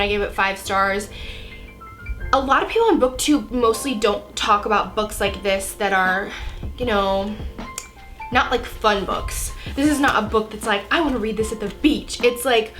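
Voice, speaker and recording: A young American woman, around twenty, chats informally in the style of a book vlogger. She has a cold and hedges as she goes, at an ordinary pitch for a woman. Faint music plays behind her voice. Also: fairly bright tone. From Florida, United States. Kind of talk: casual chat to camera.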